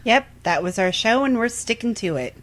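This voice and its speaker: Wry woman with a higher-pitched voice. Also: lively intonation, normal speed, a bit bright. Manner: wry, joking asides. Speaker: podcast co-host. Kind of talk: casual banter.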